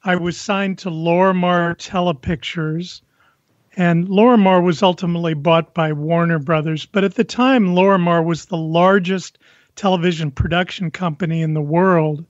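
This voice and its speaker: An older male interview guest with a notably high voice for a man. He talks conversationally, recounting things as a guest would, on a very dry and slightly dull recording. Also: steady tone, moderate pace, dry recording. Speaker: podcast guest, composer and musician.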